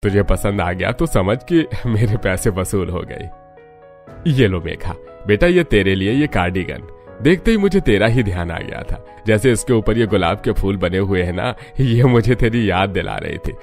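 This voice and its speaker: A man's voice: fairly low pitch, animated, normal speed, darkish tone. A man playing an affectionate, hearty father in a cartoon family story. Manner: hearty, doting. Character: affectionate, jolly father returning home to his children. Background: music.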